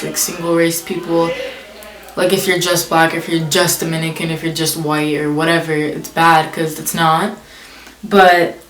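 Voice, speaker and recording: A young woman vlogger casually answering tag questions, reading each question aloud and filling her talk with "like". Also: sits low. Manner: chatty, many "like" fillers, reads questions aloud. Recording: echoey room.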